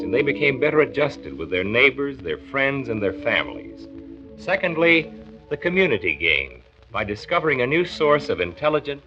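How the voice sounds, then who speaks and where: An actor playing an earnest, persuasive county doctor in 1940s radio drama, with an American accent and measured explanatory delivery. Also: male voice, medium pitch, natural voice. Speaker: radio drama lead and narrator. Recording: dull 1940s radio recording, music.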